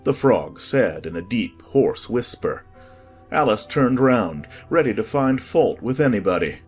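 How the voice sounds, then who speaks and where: A man narrating a storybook from a script as an expressive audiobook reader. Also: mid-range voice, a little slow, soft background music.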